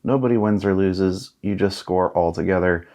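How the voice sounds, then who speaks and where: A man's voice: sits low, normal speed, a little mellow. A man in his thirties giving laid-back, casual unboxing commentary as a host, musing aloud and reading out bits as he goes. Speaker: board game unboxing host.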